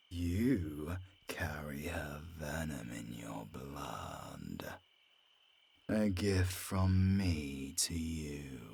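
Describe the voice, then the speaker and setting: An extremely deep, raspy vampire villain, drawls every taunt very slowly. He is cruel and grandiose, sliding between whispers and roars in a cinematic audio roleplay. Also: full character voice. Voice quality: gravelly, rasping, breaking into whispers. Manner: slow, theatrical, taunting delivery with sudden roars.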